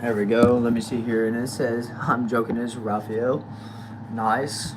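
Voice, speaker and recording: A man's voice: mid-range voice, little pitch movement, unhurried, background noise. A nineteen-year-old man hosting a casual livestream, reading viewers' comments aloud and answering them loosely. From United States. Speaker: livestream host reading chat.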